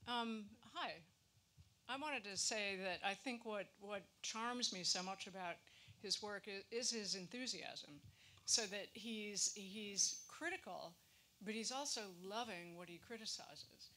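A woman's voice: medium pitch, a little slow, sharp tone. A woman giving informal commentary at a live event in an auditorium. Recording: faint background noise, live room.

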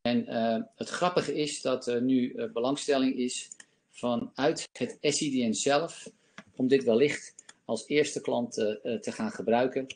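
A man in his fifties giving a live software demo and answering questions on a video call, talking with many fillers from a home office. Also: mid-range voice, fairly even intonation, measured pace. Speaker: company presenter. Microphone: laptop webcam on video call.

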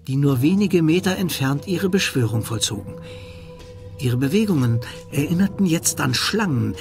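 Creaky-voiced man narrating suspenseful tale. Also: medium pitch. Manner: measured, suspenseful. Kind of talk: scripted dramatic storytelling.

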